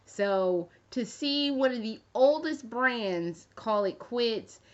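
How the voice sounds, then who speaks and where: A woman's voice: on the high side, lively intonation, slow pace. Profane rambling woman vlogger. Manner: rambling, profane, with jokey asides. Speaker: fashion vlogger. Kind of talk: casual chat to camera. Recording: dull lo-fi recording.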